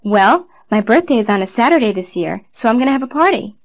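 A woman's voice: fairly high pitch, expressive, quick pace. A young woman in her twenties reading a scripted learner dialogue in a cheerful, chatty way. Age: 20s. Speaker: dialogue reader.

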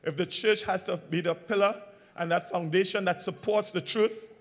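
Male pastor preaching, very high voice, call-and-response questions, loud and quiet swings. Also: steady tone, normal speed. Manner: swings between loud emphatic lines and quiet low ones. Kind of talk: sermon.

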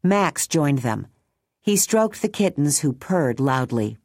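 Low husky female storybook narrator. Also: sing-song, takes it slowly, darkish tone, no room sound, clean studio sound. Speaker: audiobook narrator. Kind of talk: scripted narration.

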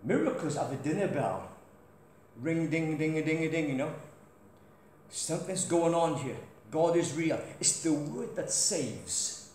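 A middle-aged man with a British accent preaching a sermon loudly with stories as he moves around a large church hall, recorded from a distance by a camera. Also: on the high side, animated, measured pace. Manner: loud crusade-style preaching, walks about. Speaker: guest preacher. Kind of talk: sermon with anecdotes. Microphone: far camera, no mic. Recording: echoey church hall, faint noise.

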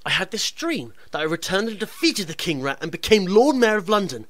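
Man as young male hero, high voice, lively swoops, earnest, theatrical, radio pantomime. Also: fairly quick, no echo. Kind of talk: comic radio pantomime acting.

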